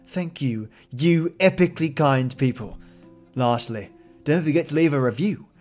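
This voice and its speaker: A man's voice: on the high side, normal speed, darkish tone. A man hosting a podcast, speaking in a colloquial, effusive, matey way. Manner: effusive, with slangy matey address. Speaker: podcast host. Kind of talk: friendly, colloquial podcast outro. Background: plucked guitar music.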